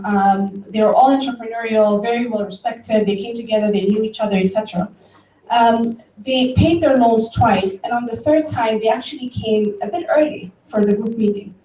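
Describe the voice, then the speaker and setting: A woman's voice: a little high, dark tone. A woman from Ethiopia giving an informal, conversational talk to an audience, telling stories and addressing listeners directly. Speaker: conference speaker, tech company director. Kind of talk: informal public talk with storytelling. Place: event room, before an audience. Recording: roomy, distant mic, faint background noise.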